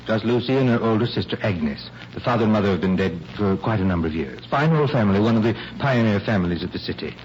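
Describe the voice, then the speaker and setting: An actor playing a concerned, paternal family lawyer in a 1940s radio drama, earnest, emphatic and formal. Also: male voice, on the low side, lively intonation, fast talker, few pauses, lightly acted. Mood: worried, confiding. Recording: muffled vintage radio recording, faint hiss.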